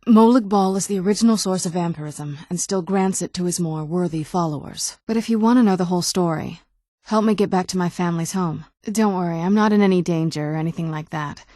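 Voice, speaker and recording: A woman's voice: lowish voice, a little fast, dark tone, no echo, lightly acted. A woman playing a youthful-seeming ancient vampire noblewoman in a video game, wry and guarded, with a dry conversational delivery. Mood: measured, guarded. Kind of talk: video game character dialogue.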